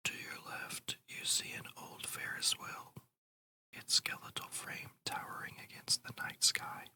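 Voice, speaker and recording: Whispered ASMR meditation guide. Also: bright, forceful tone. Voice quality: breathy whisper. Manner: slow, soothing, deliberate delivery.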